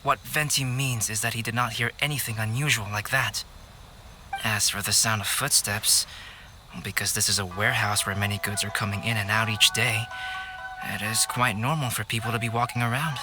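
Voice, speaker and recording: A man playing a composed young scholar in a video game, reasoning in a measured, precise way. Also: on the low side, fairly even intonation, over music, close to an everyday voice. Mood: calm, reasoning. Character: calm, analytical young male scholar, composed deducer. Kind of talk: video game cutscene acting.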